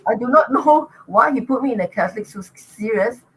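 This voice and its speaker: A woman's voice: fairly high pitch, animated, brisk, fairly bright tone, a little hiss or hum, poor audio. An older woman with a Singaporean accent, a guest in an interview, telling stories in a chatty way and laughing often. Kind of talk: casual interview talk.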